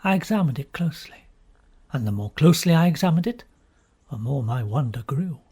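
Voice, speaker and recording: Husky male audiobook narrator. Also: on the high side. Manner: expressive, theatrical, with emphatic pauses. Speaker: audiobook reader. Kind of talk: dramatic first-person storybook narration.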